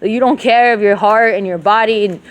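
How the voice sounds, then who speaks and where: A woman's voice: medium pitch, fairly quick, rough recording. A woman with a rural Levantine Arabic accent in her Arabic, talking to herself in an emotional, profane, rambling monologue that jumps between Arabic and English. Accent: rural Levantine Arabic when speaking Arabic.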